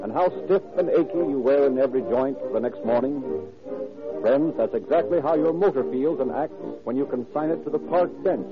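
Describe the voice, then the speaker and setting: A man's voice: medium pitch, a little fast. An American man announcing and narrating in a polished, emphatic 1930s radio style. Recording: muffled vintage radio recording, music behind.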